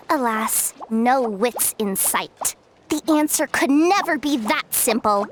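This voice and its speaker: A woman's voice: high voice, lively intonation, slow, slight background noise. A woman voicing a haughty mushroom creature that poses riddles in a video game, formal and condescending. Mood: disdainful.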